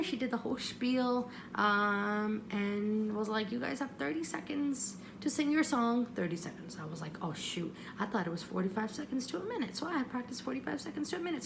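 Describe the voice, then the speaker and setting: A woman vlogger telling a story casually in the first person, rambling and expressive, with self-deprecating, apologetic asides, on a homemade-sounding recording. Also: on the high side, gravelly, background noise.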